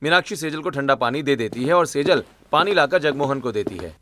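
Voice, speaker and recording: A man's voice: mid-range voice, lively intonation, brisk, fairly bright tone, soft background music, lightly acted. A man narrating a scripted cartoon story.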